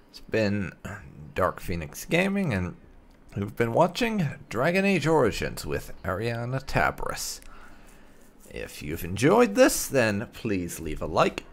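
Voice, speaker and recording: A man's voice: medium pitch, huge pitch swings, slow pace, creaky, a bit bright. A man hosting a gaming channel, giving casual, rambling let's play commentary as he thinks aloud. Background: faint game music.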